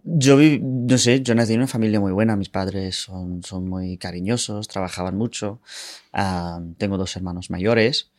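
A man in his twenties from the United States, whose first language is English. His voice is fairly low and recorded dry and close, as he tells his own story casually as a guest. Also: normal speed, a little mellow, no echo. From Ohio, United States. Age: 20s. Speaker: interview guest.

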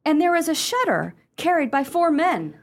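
A woman's voice: high voice, very animated, normal speed. A woman playing a watchful, wry sister in a comic period radio play, declaiming theatrically. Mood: excited lookout.

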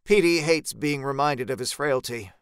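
A man narrating a first-person horror story in a measured, tense, dramatic audiobook style, recorded close in a dry space. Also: mid-range voice, clear, resonant tone, dry recording.

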